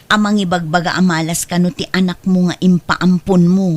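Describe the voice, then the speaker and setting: A woman's voice: lowish voice, steady tone, brisk, over music, lightly acted. A woman with an Ilocano accent playing an earnest, persuasive character in a family drama. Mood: sorrowful. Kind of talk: Ilocano radio-style family drama acting.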